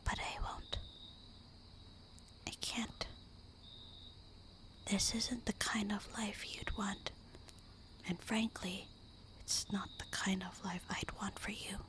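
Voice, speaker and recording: Lady doing a sad loving vampire wife, breathy whisper, really slow and intimate, asmr roleplay. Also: mid-range voice, steady tone, a bit bright. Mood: wistful, resigned. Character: loving, melancholy vampire wife, gentle and devoted.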